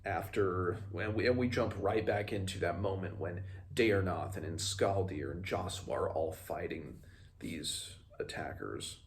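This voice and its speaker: A man in his twenties recapping a book and reading passages aloud, somewhat halting as he retells it. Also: low-pitched, a little hiss or hum. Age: 20s. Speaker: book reviewer. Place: small room at home, indoors.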